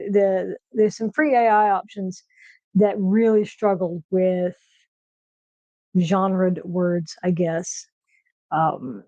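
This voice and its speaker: A woman with a soft nasal voice chatting casually on a livestream from her kitchen, with lots of fillers and restarts. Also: mid-range voice, no room sound, poor audio. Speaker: streamer host. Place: home kitchen.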